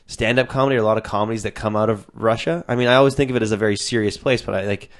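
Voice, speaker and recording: A man's voice: fairly low pitch, steady tone, very fast. A young man hosting a podcast in casual chat, rambling and jokey, swearing freely. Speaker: podcast host.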